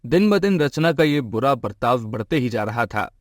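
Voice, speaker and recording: A man's voice: medium pitch, animated, normal speed, no room sound. Male storyteller, dry studio sound. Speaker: narrator. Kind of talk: scripted storytelling narration.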